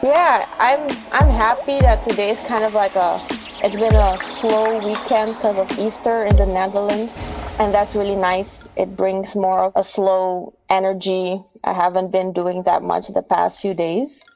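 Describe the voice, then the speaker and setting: A woman's voice: mid-range voice, fairly even intonation, a little slow, over music, rough recording. Curious woman podcast co-host. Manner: curious, asks follow-up questions. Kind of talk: casual podcast chat.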